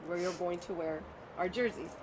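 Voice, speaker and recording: A middle-aged woman hosting a live chat show in a loose, talkative way. She laughs easily and drops Spanish words into her English. Traffic noise and passing vehicles hum clearly behind her. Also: on the low side, normal speed. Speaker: show host. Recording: noisy background with passing vehicles.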